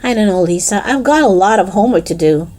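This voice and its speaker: A woman's voice: mid-range voice, lively intonation, fast, a little mellow, natural voice. A woman voicing a hesitant, nervous twelve-year-old boy in a storybook, reluctant and doubtful in manner.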